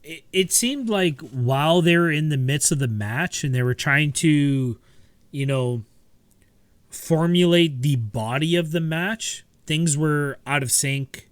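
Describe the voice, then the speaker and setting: A man's voice: on the high side, slow pace, clear, resonant tone, no echo. Dry-sounding man rambling casually. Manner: relaxed, rambling with many fillers and restarts. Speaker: podcast co-host. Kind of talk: casual chat.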